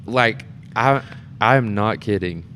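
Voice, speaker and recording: A man's voice: fairly low pitch, slow, fairly bright tone, slight background noise. A man talking casually as a guest in an informal conversation. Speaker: community theatre performer, podcast guest. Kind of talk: casual chat.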